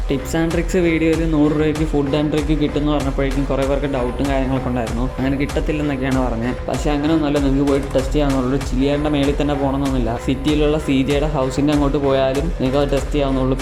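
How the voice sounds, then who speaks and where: Male gaming YouTuber, quick informal explainer delivery with music behind. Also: mid-range voice. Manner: chatty, explanatory, addresses viewers directly. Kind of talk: scripted gameplay tutorial narration, informal. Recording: roomy echoing voice-over under music. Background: background music.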